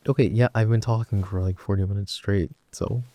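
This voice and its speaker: A man's voice: fairly low pitch, steady tone, dark tone, lightly acted. A young man playing a chatty, teasing college best friend in an intimate roleplay, rambling wryly and interrupting himself. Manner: rambling, wry, halting with self-interruptions. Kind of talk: intimate boyfriend roleplay audio, casual storytelling.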